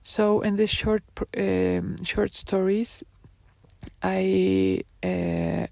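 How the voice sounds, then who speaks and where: Slow gravelly presenter full of hesitations. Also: mid-range voice, sing-song, a little mellow, no room sound. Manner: hesitant, many fillers and restarts. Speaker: designer presenting projects. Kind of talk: live presentation talk.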